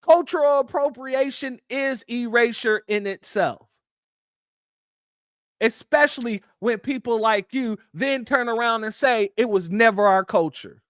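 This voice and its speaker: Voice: mid-range voice, unhurried, fairly bright tone, dry recording, studio quality. A host reacting to a clip on a livestream, speaking in a heated, emphatic way. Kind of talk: livestream commentary.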